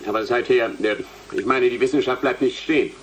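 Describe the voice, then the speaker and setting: A man's voice: mid-range voice, a little fast, a little hiss or hum, lightly acted, poor audio. A male actor playing a condescending mathematics dean in a German radio play, brusque and pompous.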